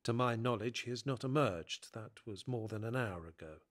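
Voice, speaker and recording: A man voicing a stiff, guarded tutor in a country house, speaking formally and with measured care. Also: mid-range voice, close to an everyday voice.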